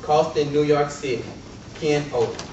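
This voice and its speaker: A man's voice: on the high side, fairly even intonation, normal speed. Male host, distant and very noisy. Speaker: host introducing contest speakers. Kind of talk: casual hosting. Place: meeting room before an audience. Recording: dull, very noisy, echoey recording from far off.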